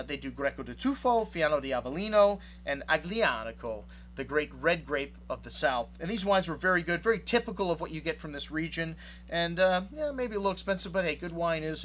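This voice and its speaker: A man's voice: a little high, a bit bright, a little hiss or hum. A man who runs a wine shop, talking casually through his tasting notes and rambling, with many fillers. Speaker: wine shop owner reviewing wines.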